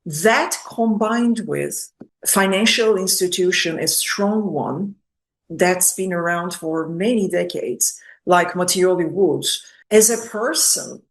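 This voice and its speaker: A woman hosting a business spotlight interview, speaking in an effusive, complimentary way with deliberate phrasing. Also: on the low side. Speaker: interview host.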